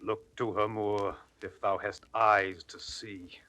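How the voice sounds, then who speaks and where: A man's voice: fairly low pitch, little pitch movement, slow, sharp tone. An actor playing a bitter, wronged old nobleman and father, declaiming ominously in a classical stage drama. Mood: bitter, warning.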